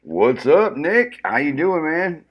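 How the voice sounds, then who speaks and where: A man's voice: a little high, big pitch swings, slow pace, slightly rough, muffled recording. A man with a powerful voice, ranting casually and profanely with lots of restarts during a comedic livestream. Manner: profane, rambling, restarts often.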